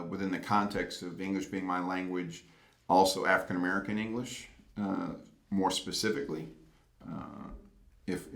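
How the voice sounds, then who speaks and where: A man speaking English as his native language, phrasing his answer deliberately and carefully. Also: deep voice, vocal fry, fairly bright tone. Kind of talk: interview answer. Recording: echoey room.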